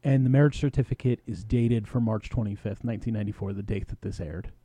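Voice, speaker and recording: Man with a very mellow, dark voice. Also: on the low side, fast talker, slightly rough, dry recording. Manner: slangy, playful storytelling. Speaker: podcast co-host. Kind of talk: casual episode recap with acted-out dialogue.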